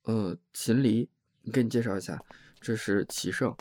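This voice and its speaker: A man's voice: lowish voice, moderate pace, darkish tone, natural voice. A man playing a considerate, hesitant young man. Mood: awkward, polite. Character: considerate, slightly awkward young working man. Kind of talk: Chinese audio drama acting.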